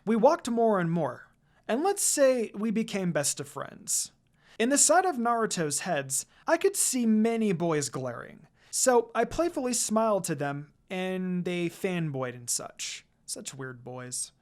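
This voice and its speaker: Female fan fiction reader, medium pitch, highly varied delivery, casual and dramatized storytelling. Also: very animated, measured pace, darkish tone. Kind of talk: expressive first-person story narration.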